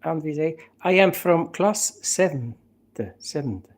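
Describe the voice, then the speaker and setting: Slow, low-voiced hesitant woman. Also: expressive, darkish tone. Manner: hesitant, halting, full of fillers. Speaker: relaying questions from a guest. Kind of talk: casual off-camera questions.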